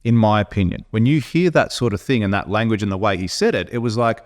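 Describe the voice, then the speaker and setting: An Australian man co-hosting a podcast, talking bluntly in an animated, opinionated way, with frequent restarts. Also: lowish voice, fast talker. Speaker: podcast co-host. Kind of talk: casual opinionated chat.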